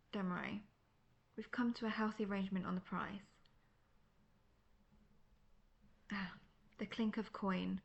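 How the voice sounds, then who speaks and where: A woman's voice: mid-range voice, vocal fry. A woman playing a seasoned, cocky monster hunter in a fantasy audio roleplay, talking in a wry, conversational, self-assured way. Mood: courteous, pleased. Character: seasoned, cocky, world-weary female monster hunter, friendly mentor.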